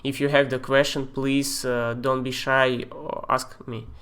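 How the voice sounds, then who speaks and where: A man with a Russian accent walks viewers through software on a live stream. He talks in a halting way, with fillers and loose non-native grammar, and his pitch sits in an ordinary middle range. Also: flat delivery, clean tone. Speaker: software tutor. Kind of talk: live-streamed screen tutorial.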